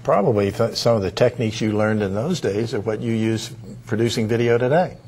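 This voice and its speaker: A man's voice: on the low side, brisk, a little mellow, a little hiss or hum. A man interviewing a guest conversationally, feeling his way through his sentences.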